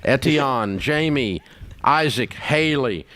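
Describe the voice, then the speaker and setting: A man's voice: mid-range voice, expressive, takes it slowly, fairly bright tone. A middle-aged man hosting a call-in advice show in a folksy, upbeat, emphatic way. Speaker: radio show host. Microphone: studio microphone.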